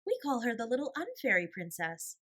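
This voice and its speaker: Storybook little girl, voiced by a woman: earnest, prim, soft-hearted and eager, light high pitch. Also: huge pitch swings, brisk, exaggerated voice. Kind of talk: storybook character voice.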